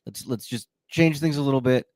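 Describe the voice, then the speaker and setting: A man's voice: medium pitch, brisk, a little mellow, no room sound, studio quality. A man hosting a podcast, chatting casually and joking, with frequent fillers. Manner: playful, jokey, many fillers. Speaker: podcast host. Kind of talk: casual chat.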